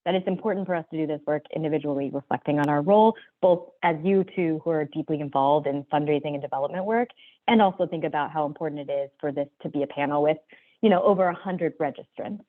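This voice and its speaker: Panel moderator, woman, chatty and loose, slightly low voice, tinny call audio. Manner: chatty, loose, with fillers and quick apologies. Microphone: video call.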